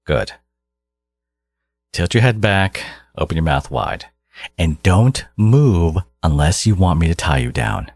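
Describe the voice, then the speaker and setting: A man playing a menacing, condescending genius supervillain in an audio roleplay, exasperated and obsessive yet caring, with a low, gravelly, husky voice. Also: expressive, slow, a little mellow, no room sound, studio quality, exaggerated voice. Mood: stern, irritated. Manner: condescending, menacing, theatrical, slipping into whispers. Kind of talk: dramatic second-person audio roleplay monologue.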